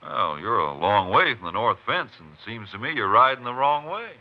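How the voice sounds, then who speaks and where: A man's voice: medium pitch, sing-song, moderate pace. An actor playing a terse, determined Texas Ranger lawman in a 1950s radio western. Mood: feigned casual. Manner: terse, matter-of-fact. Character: steady, determined Texas Ranger tracking a killer. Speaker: lead actor and narrator. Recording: noisy muffled 1950s radio recording.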